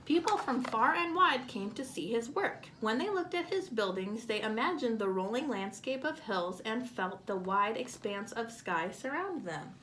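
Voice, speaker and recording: Lively high-voiced woman reading to children. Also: moderate pace, few pauses, a little hiss or hum, rough recording. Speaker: children's storybook reader. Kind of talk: read-aloud of a picture book for kids.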